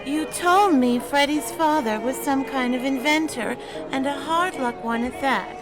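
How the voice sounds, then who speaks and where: An actress playing a spirited young 1920s woman who talks in brisk, teasing period slang. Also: high voice, poor audio. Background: music.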